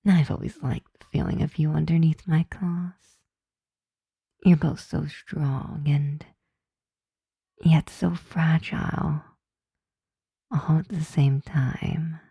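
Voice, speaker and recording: A woman playing a flirty, softly dominant, affectionate vampire roommate in an intimate ASMR roleplay, breathy and often whispering, teasing and tender. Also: low-pitched, fairly even intonation, takes it slowly, long pauses, dry recording, studio quality, heavily acted. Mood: teasing, sultry.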